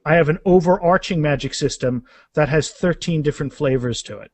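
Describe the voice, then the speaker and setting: A man's voice: a little high, brisk, dry recording. A man talking casually as an author in a podcast interview, often restarting and repeating words. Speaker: author being interviewed about their fantasy series.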